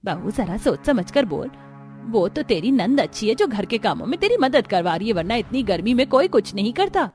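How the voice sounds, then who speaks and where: A woman's voice: fairly high pitch, big pitch swings, moderate pace, a little mellow, soft background music. A woman voicing a stingy, chiding middle-aged mother-in-law in a cartoon story. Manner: dismissive, chiding.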